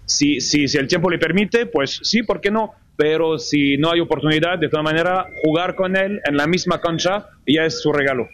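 A man interpreting a football player's answers, plain and brisk. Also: fairly high pitch, no echo.

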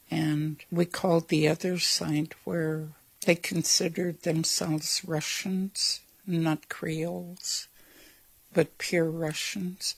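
An older woman from Alaska, speaking in a documentary interview and slowly recalling her childhood village. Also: low-pitched, gravelly, husky, no echo, rough recording. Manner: slow, reflective storytelling.

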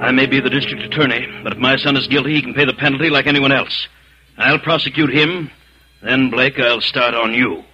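On a muffled old radio recording with faint music, a very forceful middle-aged American district attorney. He is stern and righteous, in 1940s radio drama style. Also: medium pitch, fairly even intonation, a little fast. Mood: stern, threatening.

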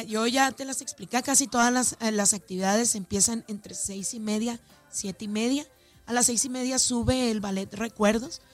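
A woman with a fairly high voice and a northern Mexican accent talks as an organizer in an interview. She is talkative and emphatic, repeating phrases to drive them home, with faint music behind her. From Ciudad Juárez, Mexico. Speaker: festival organizer being interviewed. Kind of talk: conversational radio or media interview.

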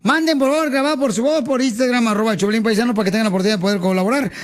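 A man's voice: very high voice, very fast. A Mexican man hosting a radio show, trading jokes and teasing his cohosts while laughing often. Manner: playful, teasing, laughs a lot. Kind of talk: joking radio banter.

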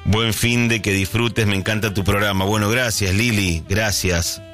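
Man, Rioplatense accent, middle-aged, radio host, low voice, rambling fillers, faint background music. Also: fairly even intonation, moderate pace, a bit bright. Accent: Rioplatense Spanish. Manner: chatty, thinks aloud with many fillers and restarts. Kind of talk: casual early-morning radio chat.

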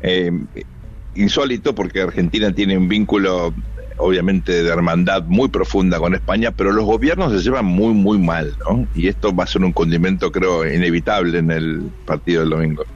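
Man, deep voice, measured radio host, muffled lo-fi audio. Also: slightly rough. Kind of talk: casual radio chat.

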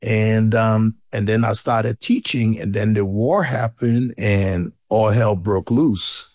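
A man's voice: on the low side, slow pace, dry recording, clean studio sound. Liberian-accented man telling his story. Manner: conversational, animated when quoting others, with fillers. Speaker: interview guest. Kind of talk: casual storytelling in an interview.

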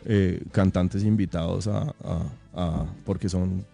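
Dark-voiced Colombian man telling stories. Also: sits low, steady tone, takes it slowly, vocal fry, faint music behind. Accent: Colombian, Bogotá. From Colombia, raised in Bogotá and Villavicencio. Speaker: interview guest, rock singer. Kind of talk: casual chat, telling anecdotes.

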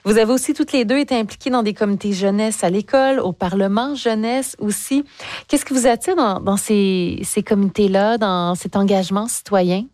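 A woman's voice: mid-range voice, moderate pace, no echo. A woman interviewing young guests, asking encouraging questions with frequent hesitations. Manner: warm encouraging questions with many fillers. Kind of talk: radio interview.

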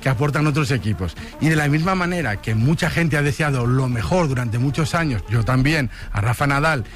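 A man's voice: medium pitch, lively intonation, a little fast. A man from Spain with a peninsular Spanish accent, debating casually as a radio panellist in a wordy, playfully grandiose way. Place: radio studio.

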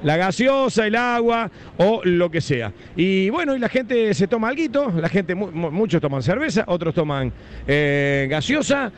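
A man's voice: high voice, big pitch swings, moderate pace, a little hiss or hum. A man with an Argentine accent reporting live from outdoors at a stadium, chatty and rambling, full of fillers and restarts. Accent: Argentine Rioplatense. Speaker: field reporter. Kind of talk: excited live walkabout reporting.

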